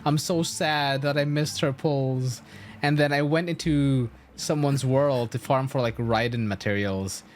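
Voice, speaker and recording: Slow-paced, slangy game streamer. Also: mid-range voice, a little hiss or hum. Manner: slangy, excitable, chuckling. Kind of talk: casual live gameplay commentary.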